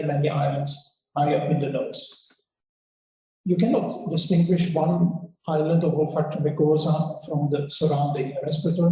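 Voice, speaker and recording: A man giving a scientific workshop talk, relaxed and halting, with many fillers and restarts. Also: fairly high pitch. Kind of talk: conference lecture. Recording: very echoey hall, faint background hiss.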